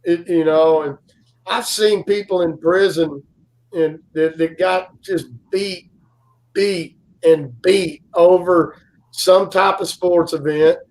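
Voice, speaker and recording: Slow-talking high-voiced man, rambling. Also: steady tone, low-quality recording. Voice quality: loud, carrying voice. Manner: rambling storyteller, many fillers and restarts. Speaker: guest co-host. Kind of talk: casual live-stream chat.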